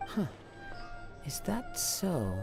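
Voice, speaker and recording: An actor playing a calm, polite young male traveler in a video game, composed and deliberate in his speech. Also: high voice, gravelly, music in the background, heavily acted.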